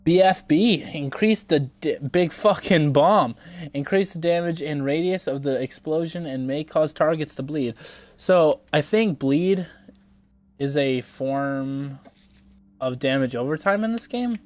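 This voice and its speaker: A man's voice: fairly high pitch, a little slow, darkish tone, no echo. A young man with a congested, stuffy voice, casually commenting on a video game as he plays, rambling and thinking aloud with some swearing. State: congested from a cold. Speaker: gameplay streamer.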